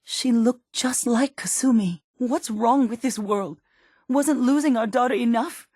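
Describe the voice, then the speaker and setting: An actress playing a worried, protective middle-aged mother in a post-apocalyptic video game, speaking earnestly and emotionally, with halting phrases. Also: a little high, dark tone, husky, no echo, clean studio sound. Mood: anguished. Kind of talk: dramatic video game voice acting.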